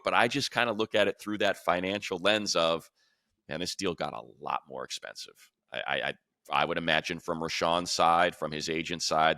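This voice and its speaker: A man's voice: deep voice, pushed and bright, dry recording, clean studio sound. A middle-aged American man hosting a casual sports discussion, speaking emphatically and with firm opinions. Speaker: sports podcast host, play-by-play announcer.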